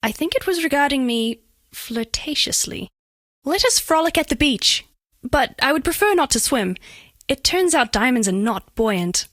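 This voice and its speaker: A woman's voice: high voice, expressive, normal speed, fairly bright tone, no room sound, clean studio sound. A woman voicing a bashful, flirtatious female alien made of living diamond in a video game, speaking in precise, oddly formal, earnest phrases. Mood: flirty, earnest. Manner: precise, stilted formal diction, earnest, coyly playful. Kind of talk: scripted game character voice lines.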